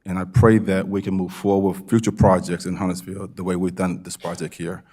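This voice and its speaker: An American man with a very low voice speaks as a commissioner at a public meeting. His delivery stays flat in pitch and halting, and he repeats phrases to drive them home. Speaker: town board commissioner. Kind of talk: public remarks at a town meeting.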